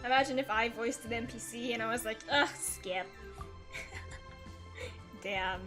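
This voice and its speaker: A woman's voice: a little high, slow pace, music in the background. A woman hosting a casual gaming livestream, chatting playfully as she comments on the game and reads bits of dialogue aloud. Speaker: livestream host.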